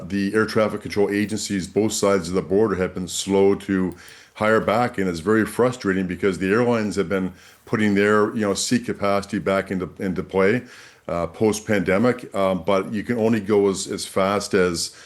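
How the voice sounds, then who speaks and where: A man's voice: low-pitched, fairly even intonation, moderate pace. A man speaking as an expert guest in a news interview, explaining things with emphatic repetition.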